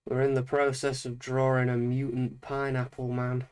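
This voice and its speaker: A man with a Northern English accent, chatting casually during a drawing livestream, his voice croaky and a little deeper than usual from a slight hangover. He talks in a relaxed way, with frequent laughs. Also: moderate pace. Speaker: streamer.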